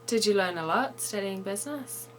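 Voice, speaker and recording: A woman host reading a short scripted news item in a clear, measured way, then asking interview questions. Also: medium pitch, expressive, a little hiss or hum, low-quality recording.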